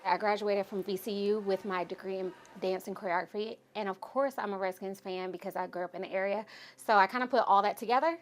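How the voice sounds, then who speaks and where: A woman's voice: mid-range voice, fairly even intonation, fast talker. A woman from Maryland who is a cheerleader and graphic designer, talking in a bubbly, playful way in an interview. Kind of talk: interview testimony.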